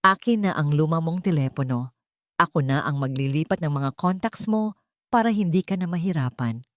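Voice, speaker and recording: A woman's voice: low-pitched, expressive, dry recording, clean studio sound. Woman voicing a controlling, possessive Filipino groom. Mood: tender, controlling. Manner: measured, pointed, coldly controlled. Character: possessive, controlling wealthy groom, outwardly tender. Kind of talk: dramatic audio storybook.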